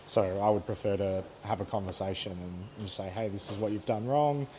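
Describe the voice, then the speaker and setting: An Australian man in his thirties, a head chef chatting easily in an interview, pausing with fillers and laughing now and then. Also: on the low side, a little mellow, a little hiss or hum. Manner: easygoing, laughs often, thoughtful pauses with fillers. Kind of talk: relaxed conversational interview.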